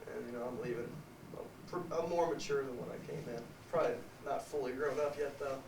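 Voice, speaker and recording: A man's voice: medium pitch, fairly even intonation, fairly quick, recorded from a distance, background noise. A young man, a college football player, answering sincerely and a little hesitantly with repeated starts. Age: young adult. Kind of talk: reflective interview answers. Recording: echoey room, off-mic.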